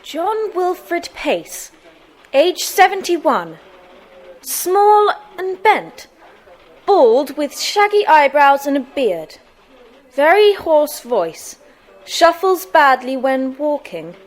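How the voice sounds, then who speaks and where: Slow-speaking, high-voiced schoolgirl. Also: sing-song, exaggerated voice, poor audio. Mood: studious. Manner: deliberate, careful reading. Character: thoughtful schoolgirl reading, keen and sensible. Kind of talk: children's audiobook drama acting.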